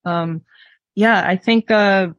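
A woman in her twenties talks candidly about herself in a mid-pitched voice with a dry, echo-free sound. Her delivery is halting, as she picks her words with care. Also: dull audio. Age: 20s. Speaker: interview guest, artist. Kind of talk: candid personal storytelling.

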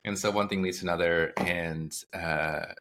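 Low-voiced man, bright and rambling. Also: normal speed. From United States. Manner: relaxed, rambling with many fillers and self-corrections. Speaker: startup founder, guest. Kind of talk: casual conversational interview.

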